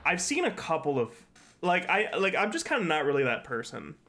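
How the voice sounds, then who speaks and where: A man's voice: fairly high pitch, huge pitch swings, fairly quick, pushed and bright, slight background noise. A young man with a stuffy, congested voice from a cold, chatting casually and swearing while streaming a game from his bedroom on a headset. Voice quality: sweary, rambling. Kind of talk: casual gaming commentary. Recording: game music behind.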